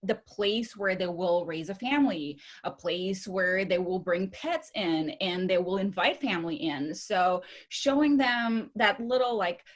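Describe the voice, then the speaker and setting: A woman from Bulgaria with a Bulgarian accent, talking in an outgoing, chatty way as an interview guest sharing personal stories. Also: fairly low pitch. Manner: chatty, outgoing, often laughing. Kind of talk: conversational personal storytelling.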